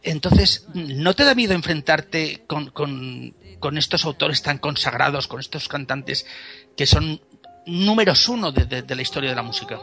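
Gravelly, bright-voiced male interviewer. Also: fairly high pitch, animated, moderate pace, faint music behind. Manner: hesitant, many fillers, searches for words. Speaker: radio interviewer. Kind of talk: casual radio interview.

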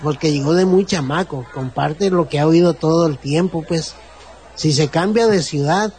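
Baja California Mexican man, somewhat high voice, long-winded explainer. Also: darkish tone, slight background noise, low-quality recording. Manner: explanatory, drawn-out, acts out sayings. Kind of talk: casual group conversation.

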